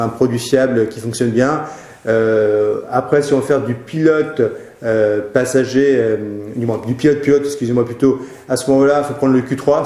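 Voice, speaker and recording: A man with a dark, relaxed voice talks viewers through product advice. His delivery is casual and a little hesitant, and the sound is roomy with audible echo. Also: medium pitch. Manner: hesitant, informal. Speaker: web show presenter answering viewers' questions.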